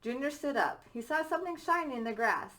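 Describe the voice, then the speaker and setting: Bright-voiced woman reading a children's story. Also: high voice, expressive, fairly quick. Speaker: teacher.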